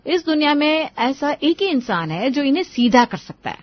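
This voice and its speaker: A woman's voice: high-pitched, big pitch swings, normal speed, dry recording, muffled recording, voice pushed up. A woman voicing a scheming, complaining young daughter-in-law in a cartoon story. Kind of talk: acted dialogue in a cartoon story.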